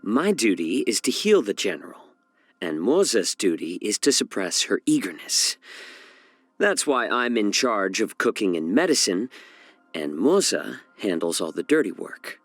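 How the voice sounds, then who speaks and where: An actor voicing a genial, sly fox-man healer and chef in a video game, speaking softly and smoothly in a measured, gently teasing way. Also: fairly low pitch, sing-song, dry recording, soft background music. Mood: earnest. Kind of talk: scripted game character voice lines.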